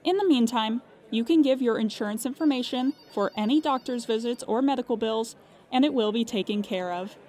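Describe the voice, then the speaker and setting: A woman's voice: a little high, a little mellow, natural voice. A woman playing a dryly weary, procedural insurance claims agent in an improvised, conversational audio drama. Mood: professional, reassuring. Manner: procedural, measured, wry asides when musing.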